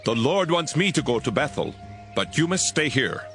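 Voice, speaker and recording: An actor playing a stern, solemn Hebrew prophet in a dramatized audio Bible, speaking with emphatic, declamatory delivery. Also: male voice, a little high, big pitch swings, moderate pace, fairly bright tone, faint music behind. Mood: solemn, commanding.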